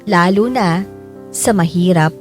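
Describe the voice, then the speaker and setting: A woman's voice: on the low side, takes it slowly. A woman playing a humble, grateful, hopeful parent of a poor family, narrating reflectively in the first person for a radio drama. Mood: grateful, wistful. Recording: music bed under the voice.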